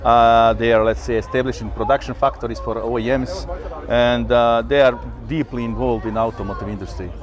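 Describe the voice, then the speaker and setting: Male engineer, mid pitch, earnest, fragmented answers outdoors. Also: steady tone, brisk, hardly any pauses, dull audio, crowd noise. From Croatia. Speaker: engineer, repair company guest. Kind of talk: casual interview answers. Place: outdoor event stand.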